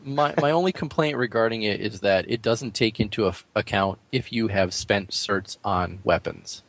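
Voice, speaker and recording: A man's voice: on the low side, normal speed, dry recording. A man co-hosting a podcast, discussing gaming casually in a polite, considered way. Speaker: podcast co-host.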